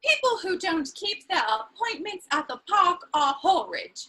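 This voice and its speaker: A woman's voice: very high voice, sing-song, measured pace, pushed and bright, full character voice. An actress playing a witty, teasing young society lady in a comedy of manners, with an arch, theatrical and playfully scolding manner. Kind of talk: stage comedy of manners, dramatic reading.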